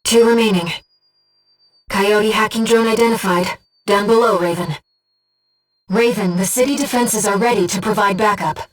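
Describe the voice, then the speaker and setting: A woman performs a gentle, bodiless female companion from a video game, with a young voice at medium pitch. She speaks softly and exactly, like an earnest mission operator. The sound sits slightly away from the microphone. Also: moderate pace, recorded from a distance, lightly acted, low-quality recording. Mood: composed, focused. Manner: composed, soft-spoken, earnest, precise. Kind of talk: video game voice acting, tactical radio guidance.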